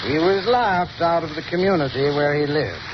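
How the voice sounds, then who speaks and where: An actor playing a scholarly male professor in a 1930s radio drama, explaining in a measured, lecturing manner. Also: on the high side, animated, natural voice. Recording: muffled 1930s radio broadcast with hiss.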